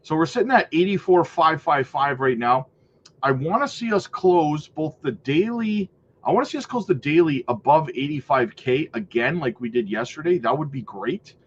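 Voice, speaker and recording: Man, stuffy nose, upbeat livestream host, fairly high voice, dull lo-fi audio. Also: lively intonation, normal speed, a little hiss or hum. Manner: upbeat, repeats "right?" and "man", reads out viewer comments. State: stuffy from a cold. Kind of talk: live chart analysis and chat shout-outs.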